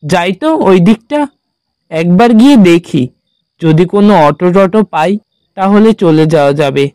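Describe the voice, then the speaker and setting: A man's voice: high voice, lively intonation, a little slow, mellow, no room sound. A man voicing an anxious, devout male traveller in a horror cartoon, muttering and pleading fretfully. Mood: worried. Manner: worried muttering to himself, pleading.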